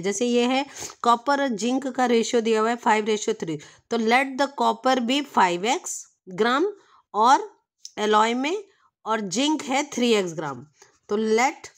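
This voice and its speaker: A woman teaches a maths lesson, patient and methodical, checking in with the listener often. Her voice sits fairly high for a woman. She slows right down when she reads out the problem text. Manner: patient, explaining with checks like "theek hai". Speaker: math tutor.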